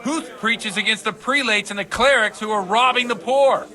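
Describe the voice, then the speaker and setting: A man's voice: high-pitched, moderate pace, bright, forceful tone. A man acting a hard-drinking, rebellious village priest in a video game, preachy and fervent in his delivery. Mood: defensive, worldly.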